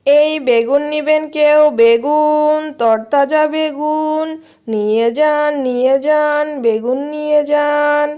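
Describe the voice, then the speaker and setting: A motherly fairy-tale creature from a children's cartoon, played by a woman. The voice sits high, with a plainly acted storybook delivery. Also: slow, mellow, soft background music. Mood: hawking. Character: mother fox and grateful, stern magic eggplant tree.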